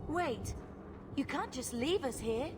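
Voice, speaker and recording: Anxious young female adventurer, fantasy game, highish voice, earnest and urgent, loud music behind. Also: animated, slow pace. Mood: alarmed. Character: earnest, anxious young woman, a protective daughter. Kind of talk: video game drama acting.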